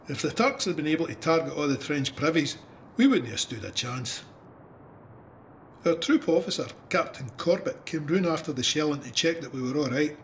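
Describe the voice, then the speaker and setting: A Scottish soldier acted by a man tells his war story in an audio drama. His voice is fairly high and slightly distant, with loud ambient noise around it. He is plainspoken and wry, chatting as a storyteller would. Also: lightly acted. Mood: wry amusement. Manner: conversational, storytelling. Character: young Scottish cavalryman soldier recounting trench shelling, wry and plainspoken. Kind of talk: dramatised first-person memoir narration.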